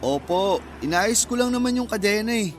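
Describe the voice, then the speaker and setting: High-voiced, modest young mechanic. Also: male voice, lively intonation, moderate pace, soft background music. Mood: amused, obliging. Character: modest, obliging young male mechanic, shy with women. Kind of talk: Tagalog radio drama acting.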